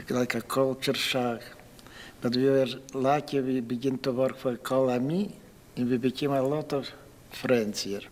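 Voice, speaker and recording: A man's voice: mid-range voice, big-room sound, slight background noise. A ninety-year-old man from Moldova, speaking broken English with good humour. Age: 90s. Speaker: interviewee. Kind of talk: personal story told in an interview.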